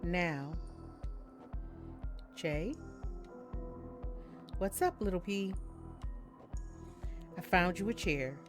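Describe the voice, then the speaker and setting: A woman's voice: lowish voice, animated, very slow, long pauses. A woman giving casual let's-play commentary and reading game text aloud in her own voice, with music behind her. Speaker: game streamer reading visual novel dialogue aloud.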